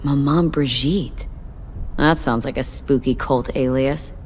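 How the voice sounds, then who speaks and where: An actress playing a tough, guarded young mercenary in a video game, speaking in a curt, wry, streetwise way. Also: female voice, very deep voice, slow, darkish tone, a little hiss or hum. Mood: businesslike, wry. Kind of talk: naturalistic video game acting.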